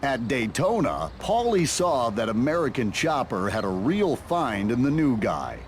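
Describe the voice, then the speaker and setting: A male narrator telling a motorcycle game's story. His pitch moves in lively swings at a middling height, with faint noise behind him. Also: measured pace. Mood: storytelling. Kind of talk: scripted narration for a game cutscene.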